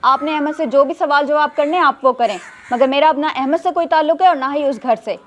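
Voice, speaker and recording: A woman's voice: sits high, a little fast, lo-fi sound, a little hiss or hum, lightly acted. A woman acting an impulsive, easily swayed young wife in a moral-story drama. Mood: hurt, defiant.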